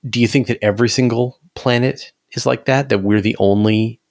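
A man's voice: mid-range voice, fairly even intonation, a little fast. A man talking casually about science as an interview guest, emphatic and repeating words to drive points home.

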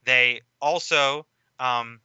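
A man talks through a film discussion as a podcast guest, steady and explanatory, laying out his points at length. His voice is very bright and crisp with a smooth clarity. The recording is dry and a touch dull, lo-fi podcast audio. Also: medium pitch, no room sound. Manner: measured, explanatory, lecturing at length.

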